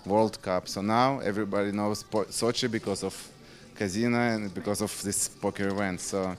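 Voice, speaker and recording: Russian guy in his 40s or so, lowish voice, stops a lot hunting for the english word. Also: steady tone. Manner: halting, searching for words. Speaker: casino poker director. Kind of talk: interview answers. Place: casino floor.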